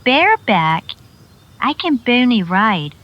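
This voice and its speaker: A woman's voice: on the high side, unhurried, muffled recording. A woman playing an anxious, emotional young frontier mother in a western radio drama. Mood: frantic, worried. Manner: emotional, expressive.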